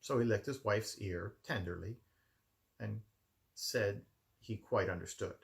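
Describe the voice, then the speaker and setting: Low, creaky-voiced man reading a storybook. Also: a little slow. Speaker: amateur storybook reader. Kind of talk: scripted storybook narration. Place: his room at home.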